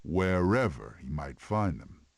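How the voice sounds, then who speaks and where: Deep, lively cartoon beast. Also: male voice, slow, gravelly, slight background noise, exaggerated voice. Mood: storytelling. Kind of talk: animated film voice clips.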